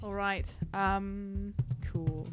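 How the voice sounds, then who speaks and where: A woman playing a tabletop role-playing game, talking informally and haltingly at the table. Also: medium pitch, fairly even intonation, lo-fi sound, background noise. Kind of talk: casual game-table chat.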